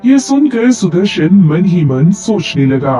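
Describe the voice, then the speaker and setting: A man's voice: high-pitched, sing-song, unhurried, warm tone. A man reading scripted narration for a moral story. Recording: dull, band-limited audio. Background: faint music.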